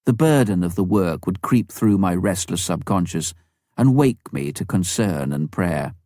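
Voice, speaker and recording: A man with a low voice reads a memoir aloud as a scripted narration. His delivery is measured and deliberate, with pauses between phrases. The sound is dry, with very little room in it. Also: expressive, mellow, no room sound. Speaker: audiobook narrator.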